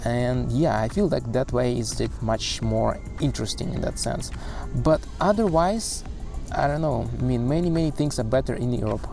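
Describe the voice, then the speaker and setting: A man's voice: mid-range voice, normal speed, vocal fry, over music. A man in his thirties from Russia, speaking English with a Russian accent, rambling casually to the camera with many fillers and restarts. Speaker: vlogger. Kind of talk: casual vlog monologue. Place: street in a city.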